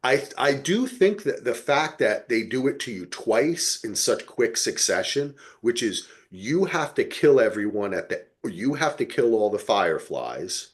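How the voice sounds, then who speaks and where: A man's voice: medium pitch, big pitch swings, measured pace, hardly any pauses. A man hosting a casual podcast, explaining things in an emphatic, unfiltered way. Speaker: podcast host. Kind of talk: casual chat.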